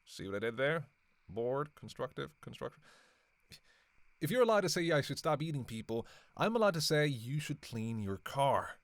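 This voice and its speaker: A man's voice: medium pitch, very animated, no room sound. A man playing a dry, sarcastic vampire. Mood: sardonic nitpicking. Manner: snarky, playful needling with drawn-out hesitations. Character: sarcastic, teasing male vampire on a road trip. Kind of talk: casual roleplay monologue.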